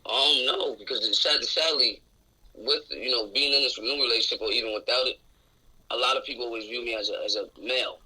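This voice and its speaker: A young man in his twenties talks with a raspy, intensely bright and forceful voice. He is outspoken and candid as he answers questions in a radio interview. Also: medium pitch, fairly even intonation, brisk, poor audio. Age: 20s. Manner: outspoken, open and reflective. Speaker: interview guest. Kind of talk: candid casual conversation. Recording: radio show recording.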